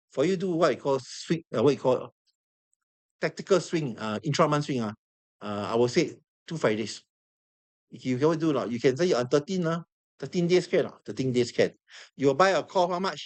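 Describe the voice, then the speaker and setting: A high-voiced man with a Singaporean English accent lecturing to a classroom of students. He sounds hoarse and worn, repeats words and keeps quizzing the room as he explains. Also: normal speed. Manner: animated, repeats himself, asks the room questions. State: hoarse, losing his voice. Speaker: trading instructor.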